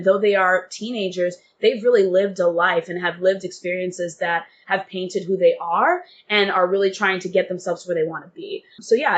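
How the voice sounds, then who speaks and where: A woman's voice: mid-range voice, dull audio. Interview guest: woman, medium register, earnest and keen, slight background noise. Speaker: theatre guest and reader.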